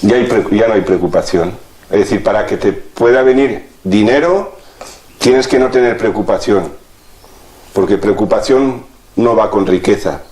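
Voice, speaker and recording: A fairly low-voiced man talks through a healing session in a calm, matter-of-fact way. His voice carries an echo from the room around him. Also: normal speed. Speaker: energy healing practitioner. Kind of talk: explaining while demonstrating a treatment.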